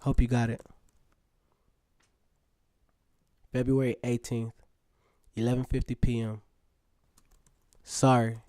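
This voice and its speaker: An earnest teenage boy in a radio drama, played by a man, reading love letters in a mellow, soft-edged voice. The sound is dry and close, and the phrasing is poetic. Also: mid-range voice, flat delivery, normal speed, no echo, close to an everyday voice. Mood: lovesick, self-conscious. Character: earnest lovestruck seventeen-year-old male letter writer.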